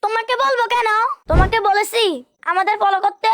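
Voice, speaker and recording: Very high voice, woman as young schoolboy, bossy ringleader, commanding, children's cartoon. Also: fairly even intonation, brisk, a bit bright, no room sound, clean studio sound, faint music behind. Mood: bossy, defiant. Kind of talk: amateur children's cartoon dub.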